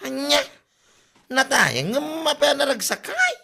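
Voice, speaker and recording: A man playing an excitable, grumbling village husband in an Ilocano-accented comedy audio drama. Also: very high voice, a little slow, gravelly, very noisy, exaggerated voice. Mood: excited, incredulous.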